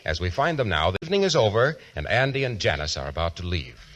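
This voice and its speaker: A man's voice: deep voice, very animated, fast talker, a bit bright. A man narrating a scripted story as a 1940s radio announcer. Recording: faintly noisy 1940s radio recording.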